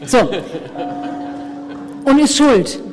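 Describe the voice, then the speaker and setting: A woman moderating a live panel discussion on a conference stage, brisk and wry, hurrying speakers along. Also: sits high, animated, music in the background. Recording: reverberant hall.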